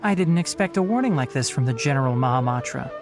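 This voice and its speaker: An actor playing a polite, earnest young ranger in a video game, speaking thoughtfully over game music. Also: male voice, mid-range voice, expressive, fast talker, natural voice.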